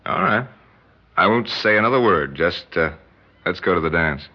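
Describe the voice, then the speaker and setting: An actor with an American accent playing an ardent, gentle young suitor, earnest and persuasive, in a 1960s radio drama. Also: male voice, sits low, lively intonation, moderate pace. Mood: admiring. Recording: muffled 1960s radio recording.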